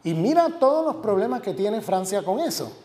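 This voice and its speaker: A man's voice: sits high, big pitch swings, fairly quick, mellow. A man, a university professor, giving an academic lecture with emphasis, repeating and listing words for stress. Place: lecture hall. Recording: echoey lecture hall.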